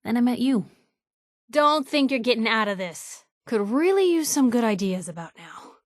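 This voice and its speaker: A woman's voice: on the high side, sing-song, normal speed, no room sound, clean studio sound. A woman playing a spirited, sardonic young reporter in a post-apocalyptic video game. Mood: warm, upbeat. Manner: sardonic, teasing, plucky. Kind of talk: video game companion dialogue.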